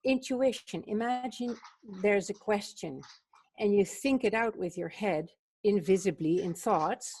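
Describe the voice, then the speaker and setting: Slow, husky woman musing contemplatively. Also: mid-range voice, darkish tone, poor audio. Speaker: book club co-host. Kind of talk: contemplative spiritual discussion.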